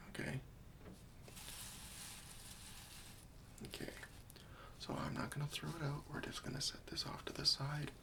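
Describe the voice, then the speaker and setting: A gentle, patient helper played by a man in an ASMR roleplay, whispering slowly and coaxingly. The voice is crisp and sibilant on top, with a friendly, reassuring way of putting questions. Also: medium pitch, exaggerated voice. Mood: gently coaxing.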